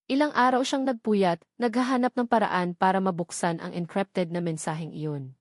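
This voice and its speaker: A woman's voice: mid-range voice, moderate pace, dry recording. Filipino woman narrating, dry close sound. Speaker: story narrator. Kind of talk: scripted dramatic story narration.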